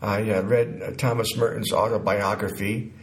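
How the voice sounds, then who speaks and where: A man in his sixties from Connecticut recounts his life as an interview guest, haltingly, pausing mid-sentence. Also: fairly low pitch, level tone. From Connecticut, United States. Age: 60s.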